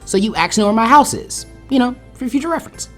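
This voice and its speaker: A man's voice: extremely high pitch, lively intonation, fast, faint music behind, voice pushed up. A man voicing a perky, bossy teenage girl ninja in a story, chirpy and insistent.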